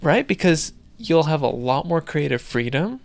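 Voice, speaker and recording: A man's voice: a little high, lively intonation, normal speed, creaky, no room sound. A man co-hosting a podcast in casual chat, thinking aloud in a loose, rambling way. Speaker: podcast co-host.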